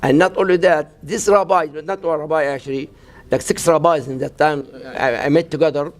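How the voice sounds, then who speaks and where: Halting older man from Gaza. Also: on the high side, steady tone. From Gaza, Palestine. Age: 60s. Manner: halting, broken English with many restarts. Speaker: imam, guest. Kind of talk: personal account in an interview. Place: mosque event.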